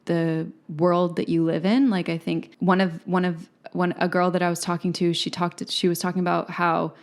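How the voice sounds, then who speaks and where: Reflective woman with a lowish voice. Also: flat delivery, moderate pace. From Malaysia. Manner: reflective, frequent "like" and "right?" tags. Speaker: podcast guest, psychic intuitive. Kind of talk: casual conversational interview.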